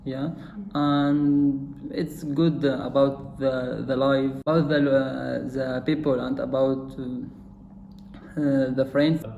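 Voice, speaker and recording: A man in his twenties from Syria speaks slowly, with a gravelly edge to his voice. He hesitates often and leans on fillers. The recording sounds dull, echoey and noisy. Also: mid-range voice, level tone. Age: 20s. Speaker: interviewed student. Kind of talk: hesitant interview answers. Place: indoor studio room with a cartoon backdrop.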